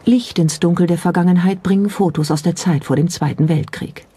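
A documentary narrator reading a script in a measured, explanatory way and posing rhetorical questions. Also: mid-range voice. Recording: music behind the voice. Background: clearly audible background music.

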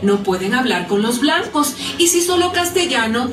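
An educated, devoted schoolteacher in a radio drama, speaking. Her voice sits a little high. It comes through distant and echoey, over faint music. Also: sing-song, normal speed. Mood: reasoning. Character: educated, supportive city schoolteacher woman, devoted ally.

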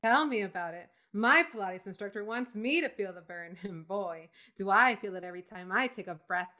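A woman's voice: mid-range voice, normal speed, pushed and bright, close to an everyday voice. A woman playing a perky, glamorous singing-trio spokeswoman in a comic 1940s-style radio ad, with a cheery sales delivery. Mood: wry, amused. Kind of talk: 1940s radio ad acting, comedic.

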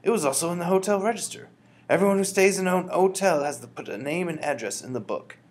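A man voicing a calm, wise elderly grandmother who speaks in a measured, reassuring way. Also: high-pitched, pitched above the performer's own voice.

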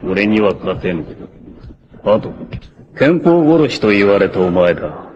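An actor playing an arrogant, obsessive warlord villain in a 1980s anime, haughty, menacing and theatrical in delivery. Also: male voice, fairly low pitch, sing-song, measured pace, a little mellow, lo-fi sound, a little hiss or hum. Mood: cold menace. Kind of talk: dramatic 1980s anime voice acting.

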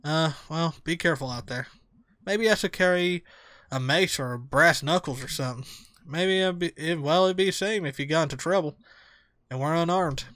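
A man reading the lines of a gentle, corny middle-aged cat father in a video game. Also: a little high, moderate pace, dry recording, natural voice. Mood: fatherly.

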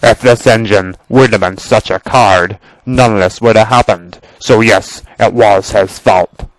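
Storybook villain: older male engine, lowish, menacing and scornful acted by a man, over music. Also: fairly even intonation, a little slow, no room sound, close to an everyday voice, low-quality recording. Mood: angry. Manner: cold, threatening.

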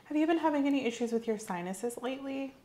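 A young adult woman speaking softly and reassuringly as she questions a patient in an ASMR medical roleplay. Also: sits high, animated, fast, slight background noise. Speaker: host playing a nurse in a roleplay. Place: small indoor room set up as an exam room.

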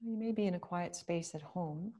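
A woman leading a guided meditation, speaking slowly and gently with long pauses. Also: on the low side.

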